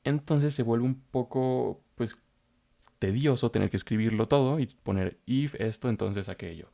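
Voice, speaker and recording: A man's voice: mid-range voice, normal speed, creaky, warm tone. A man teaching programming in a coding tutorial, explaining step by step and repeating himself as he goes. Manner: explains step by step, repeats phrases, frequent fillers. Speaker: programming instructor. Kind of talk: screen-recorded coding tutorial.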